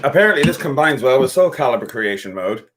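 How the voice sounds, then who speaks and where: A man's voice: medium pitch, big pitch swings, fast talker, fairly bright tone, a little hiss or hum. A man in his thirties co-hosting a casual drinking livestream, joking and rambling, often trailing off into mumbles. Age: 30s. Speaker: musician co-host of a drinking stream. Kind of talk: casual drunken livestream banter.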